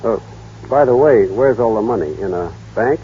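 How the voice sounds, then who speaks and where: A man's voice: fairly low pitch, lively intonation, moderate pace, slightly rough. An actor playing a naive, plainspoken small-town young man in an old-time radio drama. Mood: curious, wary. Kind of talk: 1930s-40s radio drama acting. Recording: muffled, band-limited old radio broadcast.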